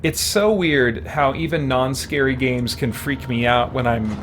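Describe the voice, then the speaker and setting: A man's voice: medium pitch, a little slow, background noise. A man chatting casually with viewers as he plays a game on a livestream, rambling in a playful, self-deprecating way. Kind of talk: casual gameplay chat with viewers. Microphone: streaming setup, headphones on. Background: game music.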